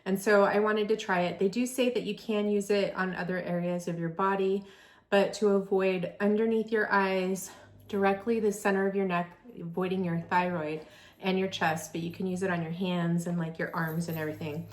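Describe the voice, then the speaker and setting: A woman's voice: mid-range voice, fairly even intonation, normal speed. A woman in her forties, an esthetician, chatting through a skincare device review in an enthusiastic, friendly way. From California. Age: 40s. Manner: chatty, enthusiastic, reads specs aloud. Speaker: esthetician and beauty vlogger. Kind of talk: product review vlog.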